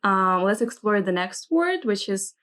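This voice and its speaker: A woman's voice: mid-range voice, very animated, unhurried, a bit bright. A woman who is a non-native English speaker leads a vocabulary lesson, carefully reading example phrases and asking what they mean. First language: not English. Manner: inquisitive, careful, checking meanings. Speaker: host of a vocabulary segment.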